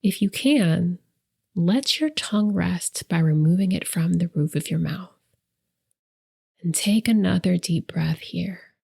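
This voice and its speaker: Slow, low, breathy woman guiding meditation. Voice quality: breathy, soft voice trailing into whispers. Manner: slow, gentle, deliberate pauses. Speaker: guided meditation host. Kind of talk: soft, soothing guided meditation narration.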